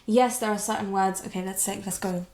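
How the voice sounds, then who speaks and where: A woman's voice: medium pitch, fairly even intonation, a little fast, a little mellow. A woman whose first language is Hindi, hosting a podcast and encouraging her guest with frequent short affirmations. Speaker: podcast host. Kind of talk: casual interview conversation.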